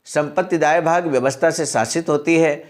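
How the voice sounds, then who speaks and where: A man's voice: fairly high pitch, normal speed. A man presenting a channel's daily message, reading out and explaining a historical text and addressing his listeners as honored friends. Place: center in Sarnath, Varanasi.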